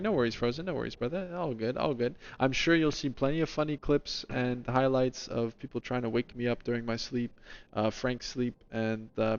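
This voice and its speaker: A man's voice: mid-range voice, creaky. Chatty streamer man, slightly dull mic. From New Jersey, US. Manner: rambling, chatty, talks to chat by name. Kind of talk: casual stream chat in a lemon persona.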